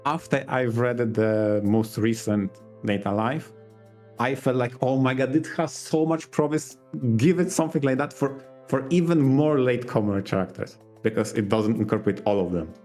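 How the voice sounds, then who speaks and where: A man's voice: medium pitch, normal speed, a little mellow. A man talking casually as a podcast co-host, hesitant and thinking aloud as he goes. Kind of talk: casual podcast chat about visual novels. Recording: music behind the talk. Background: background music.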